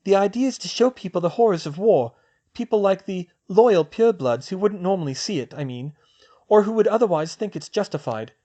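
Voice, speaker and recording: This is a man reading a clever, determined teenage witch, decisive and organized. The voice sits high for a man and moves lively up and down. She sounds fast and sure of her plans. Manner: brisk and decisive. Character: sharp, determined teen witch, organized planner.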